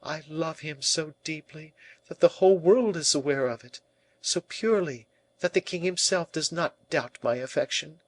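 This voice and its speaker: A man's voice: fairly high pitch, normal speed, dry recording, pitched above the performer's own voice, natural voice. A man voicing an earnest, devoted young noblewoman of a royal court, pleading in formal speech for an audiobook. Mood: devoted, impassioned.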